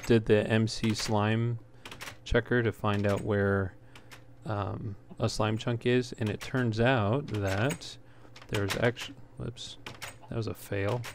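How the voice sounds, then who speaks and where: A man giving casual gameplay commentary in a halting way, as if thinking aloud while he plays. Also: lowish voice, fairly even intonation. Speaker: let's player, host. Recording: clear background noise.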